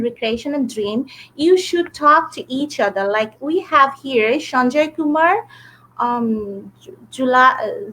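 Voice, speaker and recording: A woman's voice: high-pitched, bright, forceful tone, low-quality recording. A woman teaching an interactive English class on a video call, explaining step by step in a patient way. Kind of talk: live online class.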